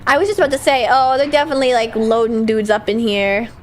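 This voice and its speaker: A woman's voice: a little high, fairly even intonation, fast, a little hiss or hum. A young woman streaming a game while sick, chatting in a playful, giggly, offhand way. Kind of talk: casual gameplay chat.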